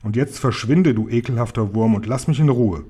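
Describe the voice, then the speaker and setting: A man's voice: medium pitch, brisk, mellow, breathy edge, lightly acted. A man voicing a skinny, vain and cruel aunt in a storybook, haughty and cutting. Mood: angry, threatening.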